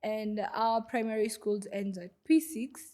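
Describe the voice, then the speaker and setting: A woman's voice: mid-range voice, fairly even intonation, measured pace, dark tone. A young woman from Goma in the DR Congo, a podcast guest, who tells her story in a hesitant way with many fillers. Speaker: guest, refugee vendor. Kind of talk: podcast interview.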